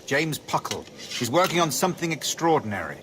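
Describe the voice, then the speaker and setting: A man's voice: fairly high pitch, big pitch swings, fairly quick, noisy background. An actor playing a composed, pompous male naval captain, clipped, genteel and self-assured. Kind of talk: video game cutscene acting.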